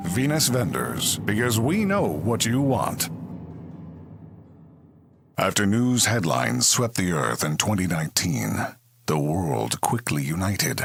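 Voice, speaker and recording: A man's voice: on the low side, big pitch swings, takes it slowly, vocal fry, husky, faint music behind, exaggerated voice. A man playing a grand narrator and announcer in a comedy space audio drama, with a theatrical, scripted delivery. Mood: showy, salesmanlike. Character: grand sci-fi narrator and slick future advertising announcer.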